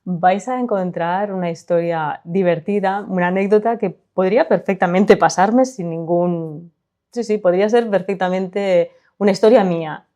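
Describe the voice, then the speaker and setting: A woman's voice: lowish voice, normal speed. A woman with a Spanish accent from Spain, chatting expressively about her story and then narrating it into a studio microphone. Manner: lively, expressive, gesturing while talking. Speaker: author reading her own story.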